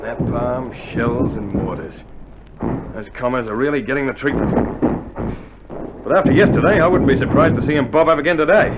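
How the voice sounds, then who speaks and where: A man's voice: medium pitch, normal speed, pauses often, close to an everyday voice. A man acting a seasoned, watchful Australian soldier in an old radio war drama, talking in matey slang. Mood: grim, matey. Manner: slangy, matey commentary on the battle. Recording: noisy old vinyl recording, battle sounds behind.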